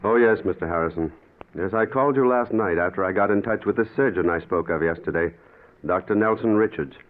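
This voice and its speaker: An actor playing a courteous, grave physician in a vintage radio drama, speaking formally and carefully. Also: male voice, lowish voice, fairly quick, natural voice. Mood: polite, kindly. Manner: formal, polite, hesitant. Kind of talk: 1940s-50s radio drama acting. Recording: tinny narrow-band old radio recording.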